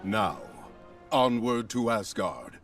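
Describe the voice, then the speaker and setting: Noble, bombastic warrior god. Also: male voice, lowish voice, sing-song, slow pace. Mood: resolute. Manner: grand, archaic, declamatory. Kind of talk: heroic video game acting. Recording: dramatic music behind the voice.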